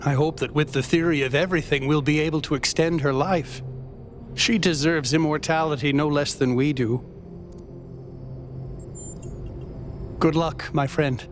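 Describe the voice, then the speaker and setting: A man's voice: a little high, fairly even intonation, moderate pace, slight background noise, close to an everyday voice. A man acting a sincere, idealistic robot in a video game, speaking in an earnest, heartfelt way. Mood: tender. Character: hopeful, idealistic male robot citizen, sincere friend.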